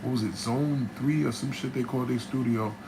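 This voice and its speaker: A man from New Jersey, a rapper, telling a studio story in casual, profane style with a raspy voice, often asking "right?". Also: medium pitch, brisk. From New Jersey, USA. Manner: animated, profane, keeps checking in with "right?". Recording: noticeable background noise.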